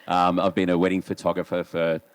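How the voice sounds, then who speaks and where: A man's voice: low-pitched, monotone, fairly quick. An Australian man in his late forties talking casually as a photo critic, chatty and often checking in with his colleagues. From Melbourne, Australia. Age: late 40s. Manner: chatty, rhetorical questions to colleagues. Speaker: wedding photographer and competition judge. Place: booth at a trade show.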